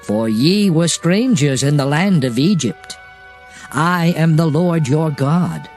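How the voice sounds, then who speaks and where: Casting: male actor as solemn lawgiver for scripture drama, very slow, highly expressive intonation, declamatory. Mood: solemn, commanding. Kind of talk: dramatized King James scripture reading. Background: music.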